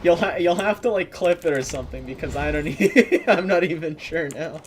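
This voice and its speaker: A man's voice: fairly high pitch, moderate pace, a little hiss or hum. A man streaming a game, chatting casually with viewers and swearing now and then. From Eastern European descent. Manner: relaxed, swearing, chatting to viewers while playing. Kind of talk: casual gameplay commentary.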